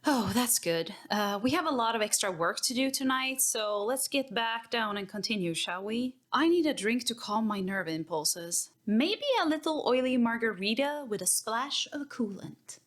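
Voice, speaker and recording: A woman's voice: on the high side, expressive, normal speed, hardly any pauses, a bit bright. A woman playing an eccentric, curious tech-priest scholar, affectionate and a little nervous, in a sci-fi audio drama. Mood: relieved.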